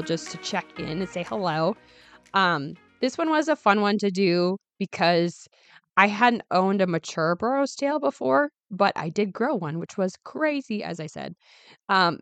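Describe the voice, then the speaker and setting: A woman in her twenties hosting her own podcast in a casual, chatty way, her voice still raspy from being unwell. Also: medium pitch, a bit bright, no echo. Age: 20s. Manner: chatty, upbeat, many ums and asides. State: raspy, recovering voice. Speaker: podcast host. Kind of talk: casual solo podcast chat.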